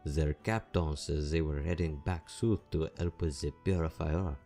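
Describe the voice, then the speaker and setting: A man playing a playful goblin chef with a French accent, teasing and relaxed, in a fantasy audiobook. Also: extremely low pitch, a little slow, soft background music. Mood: casual. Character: playful male goblin chef with pointed fangs. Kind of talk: audiobook character acting.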